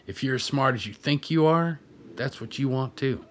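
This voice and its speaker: An actor playing a gruff, cynical militia man in a radio play, blunt, plain-spoken and foul-mouthed. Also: mid-range voice, normal speed, natural voice. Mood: contemptuous.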